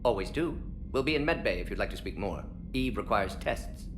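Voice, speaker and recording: An actor playing a brisk, confident alien scientist in a video game, talking in clipped, telegraphic phrases. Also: male voice, on the low side, lively intonation, background noise, close to an everyday voice. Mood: reassuring. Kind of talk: video game character acting.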